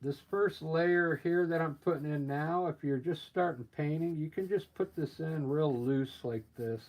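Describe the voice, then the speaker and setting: A man with a scratchy voice from allergies, painting live and explaining his steps in a relaxed, thinking-aloud way. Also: fairly high pitch, unhurried. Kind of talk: casual live painting demonstration.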